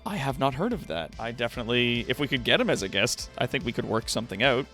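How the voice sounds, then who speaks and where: A man livestreaming in casual chat with viewers, thinking aloud in loose, halting phrases. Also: medium pitch, expressive, pushed and bright, soft background music.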